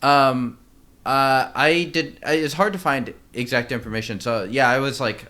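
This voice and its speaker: A man's voice: medium pitch, steady tone, measured pace, sharp tone. A man co-hosting a film podcast, giving his opinions in a casual, hesitant, informal way.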